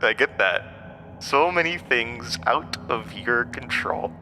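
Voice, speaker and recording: A man's voice: mid-range voice, expressive, takes it slowly, background noise. An actor playing a mysterious, mocking, weary undying male entity in a horror audio drama, speaking in theatrical, cryptic asides. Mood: taunting, sly. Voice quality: broken-windpipe voice, borrowed from another man.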